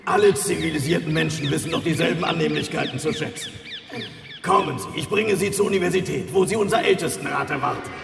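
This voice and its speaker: An actor playing an effusive, formally polite, proud alien host with a creaky voice in a science fiction radio drama. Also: male voice, a little high, fast, loud music behind the voice. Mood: gracious, proud. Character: courteous, proud, long-lived alien host showing visitors around. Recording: noisy ambience behind the voice.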